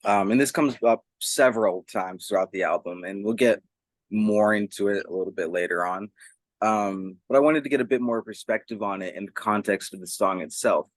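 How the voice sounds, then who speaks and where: Casting: male interviewer, lowish voice, measured and reflective, very dry recording. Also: dry recording. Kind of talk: thoughtful music interview.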